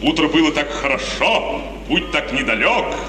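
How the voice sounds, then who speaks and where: A man plays a strict, fretful master of the house in a 1950s radio drama. His theatrical, emphatic voice is fairly high and moves widely in pitch. It sounds distant in a long reverberant hall. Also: lively intonation, moderate pace, close to an everyday voice. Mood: hearty, brisk. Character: anxious, strict middle-aged father, master of the house. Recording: old echoey radio play, distant, music behind.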